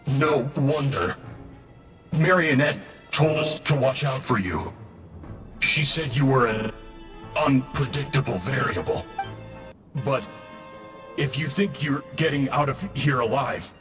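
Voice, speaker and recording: A man's voice: medium pitch, takes it slowly, long pauses, over music, close to an everyday voice. A man playing a smug, sardonic enemy agent in a video game, battered but defiant, with a theatrical mocking delivery. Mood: taunting.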